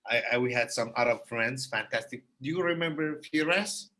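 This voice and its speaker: Guy on a podcast, bright voice, earnest, keeps repeating himself for emphasis. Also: mid-range voice, moderate pace. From Iraq. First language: Kurdish. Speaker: guest. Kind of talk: heartfelt conversation.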